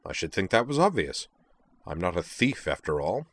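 A man voicing a courteous, proud lizard-like alien swordsman in a narrated story, speaking with formal, flowery politeness and calm, cutting wit. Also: lowish voice, moderate pace, no room sound, voice pushed up, close to an everyday voice. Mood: huffy. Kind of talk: acted dialogue in a narrated story.

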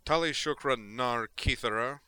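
A man performs a pompous male alien admiral for an audiobook. He is callous and condescending, addressing others sternly in a bright, forceful voice. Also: on the low side, lively intonation, a little fast, no room sound. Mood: bellowing, outraged.

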